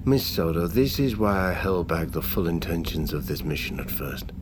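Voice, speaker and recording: An actor playing a calculating, courteous expedition leader in an audio drama, speaking in a formal, measured, persuasive way. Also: male voice, deep voice, expressive, noisy background. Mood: calm, controlled. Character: calculating, courteous male expedition leader, secretive true believer.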